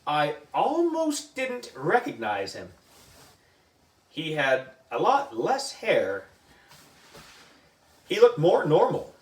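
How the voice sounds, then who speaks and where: A man reading a children's story aloud in an animated narrating style. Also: fairly high pitch, slow, long pauses. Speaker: book club reader. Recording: echoey room, distant mic.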